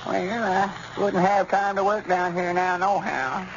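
High-pitched cranky old country grandpap. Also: male voice, flat delivery, a little slow. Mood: matter-of-fact. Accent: rural Arkansas. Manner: stubborn, folksy. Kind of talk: 1930s-40s radio comedy acting. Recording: muffled, noisy old radio recording.

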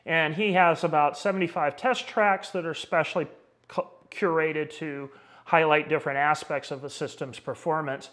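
Male reviewer, middle-aged, slightly high voice, conversational and halting as he talks through audio gear. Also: fairly even intonation, a bit bright.

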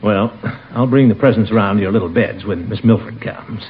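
A man's voice: on the low side, moderate pace, natural voice. An actor playing a jolly, avuncular fat man talking hesitantly to children in old-time radio comedy. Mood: gently indulgent. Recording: muffled, noisy old radio recording.

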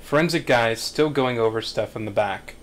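A man's voice: mid-range voice, moderate pace, slight background noise. A man reading stage directions as scripted narration at a screenplay table read.

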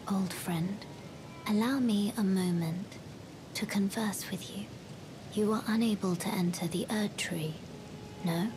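Woman playing a calm, slow-spoken enigmatic maiden. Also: medium pitch, natural voice. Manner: measured, formal, hushed. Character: calm, enigmatic young maiden, soft and solemn. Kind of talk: dramatic video game dialogue. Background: music.

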